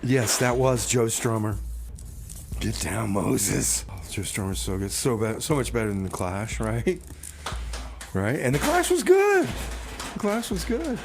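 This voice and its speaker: A man's voice: medium pitch, big pitch swings, unhurried, creaky, background noise. A man playing an earnest radio DJ, chatting casually, rambling and repeating himself. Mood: chatty. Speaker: radio show host and DJ. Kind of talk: casual improvised radio banter.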